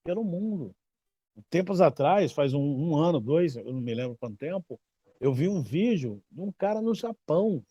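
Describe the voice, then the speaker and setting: A man's voice: a little high, animated, normal speed, mellow, breathy edge, no room sound, lo-fi sound. A Brazilian man in his fifties, a babalawo explaining his tradition in casual talk, emphatic and repeating phrases for stress. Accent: Brazilian Portuguese. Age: 50s. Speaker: babalawo teacher.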